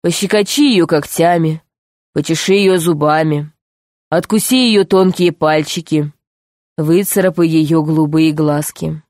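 A woman voicing a slow, menacing male villain. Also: lowish voice, steady tone, no room sound, clean studio sound, lightly acted. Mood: mock-sweet menace. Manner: slow, deliberate, mock-gentle commanding. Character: calm, menacing male underground ruler, mockingly sweet, power-hungry villain.

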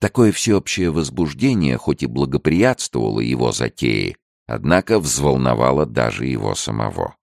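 Male audiobook narrator, extremely deep voice, gravelly, lively intonation, dry close recording. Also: normal speed, few pauses, dry recording. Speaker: audiobook reader. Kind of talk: expressive scripted narration.

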